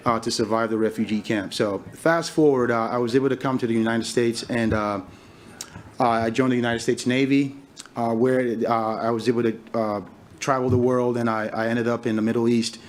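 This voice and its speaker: A man from Liberia in his thirties, a farmer giving a conference talk, speaking haltingly with many fillers and restarts. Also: medium pitch. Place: conference hall stage.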